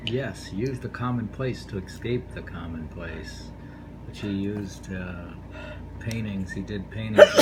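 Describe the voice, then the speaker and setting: A man reading poetry informally, unhurried and rambling, repeating lines and adding offhand commentary. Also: fairly low pitch, creaky. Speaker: poetry reader. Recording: noisy room ambience, amateur home recording.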